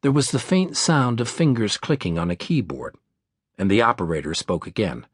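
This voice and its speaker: Expressive male audiobook narrator, dry studio sound. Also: lowish voice, normal speed, husky, dry recording. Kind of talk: scripted audiobook narration of a thriller. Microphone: close studio microphone.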